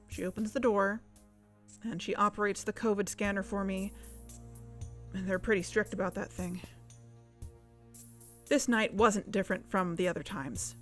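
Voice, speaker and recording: Audio drama: actress as determined female investigator, mid-pitched, earnest, music underneath. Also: natural voice. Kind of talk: fiction podcast drama, confessional narration and dialogue.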